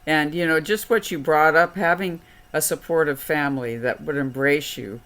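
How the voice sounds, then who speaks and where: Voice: medium pitch, normal speed, a bit bright. A panel moderator who thanks and affirms each speaker with effusive praise.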